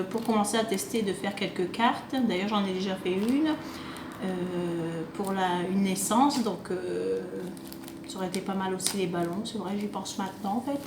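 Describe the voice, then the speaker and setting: A woman chatting casually and unscripted to camera, hesitant and rambling as she presents a craft shopping haul, recorded on a phone on a table. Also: mid-range voice. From France, half Italian. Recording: roomy echo, clearly noisy home recording.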